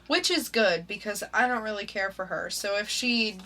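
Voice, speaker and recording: A woman's voice: mid-range voice, normal speed, bright, forceful tone. A woman co-hosting a casual podcast, talking through news in a laid-back, dry way. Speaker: podcast co-host.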